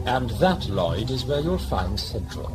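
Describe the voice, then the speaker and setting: A man's voice: medium pitch, very animated, measured pace, very noisy. Man playing a blustering old governor, swooping voice. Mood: grumpy. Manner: pompous, blustering, dismissive. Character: blustering, pompous old prison-ship governor nostalgic for empire. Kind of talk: audio drama acting.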